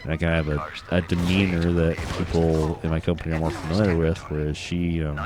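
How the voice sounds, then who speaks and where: A man's voice: a bass voice, little pitch movement, a little fast. A man with a Midwestern American accent, a bit drunk, rambling and reflective as he hosts a casual gaming chat, swearing casually. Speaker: gaming podcast host.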